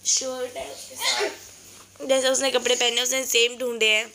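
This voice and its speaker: A woman's voice: fairly high pitch, little pitch movement, normal speed, gravelly, background noise. A woman from Pakistan with a sore throat, narrating a casual shopping vlog in a cheerful, chatty way and pointing things out to her viewers. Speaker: vlogger. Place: voice-over recorded later, indoors.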